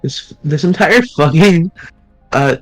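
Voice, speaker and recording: A man's voice: a little high, animated, slow, a bit bright, soft background music, low-quality recording. A man streaming a game, chatting casually in a bemused way. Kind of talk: casual gaming commentary.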